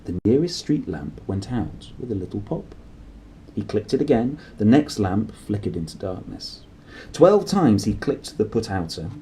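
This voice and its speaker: A man narrating a children's storybook aloud in an expressive style. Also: fairly low pitch, slight background noise, rough recording. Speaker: storybook reader.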